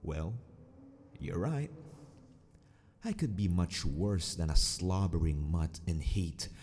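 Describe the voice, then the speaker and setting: Man playing a very deep, gravelly mad scientist villain. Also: animated, a little mellow, slight background noise, exaggerated voice. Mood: sadistic, gloating. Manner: slow, theatrical, formal, mockingly polite. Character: sadistic, possessive male mad scientist of an empire, mockingly courteous. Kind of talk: ASMR villain roleplay monologue.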